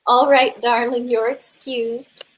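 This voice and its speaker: A woman's voice: a little high, little pitch movement, slow pace, rough recording. A woman playing a cheerful, patient wife and mother in a radio play reading, chatty and soothing. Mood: cheerful, affectionate. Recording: noticeable faint background noise.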